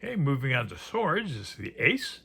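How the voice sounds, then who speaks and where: A man's voice: medium pitch, huge pitch swings, normal speed, creaky, bright, forceful tone, slightly rough. A man giving casual, unscripted commentary as he presents a card deck, musing aloud and trailing off into murmured asides. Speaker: presenter reviewing a tarot deck. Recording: faint background noise.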